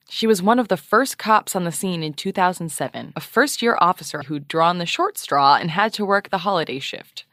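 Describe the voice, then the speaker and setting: A prim, sarcastic radio journalist, very animated. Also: female voice, lowish voice, dry recording, clean studio sound. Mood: measured, formal. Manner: sarcastic, formal, precise. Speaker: podcast host and radio journalist. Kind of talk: mock investigative podcast, comedic audio drama.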